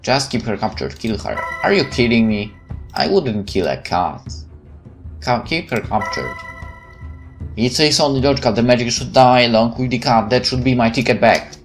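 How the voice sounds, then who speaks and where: Man, medium pitch, game streamer, visual novel narration, casual playful commentary, faint music behind. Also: lively intonation, moderate pace. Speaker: gameplay streamer. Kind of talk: reading a visual novel aloud with casual asides.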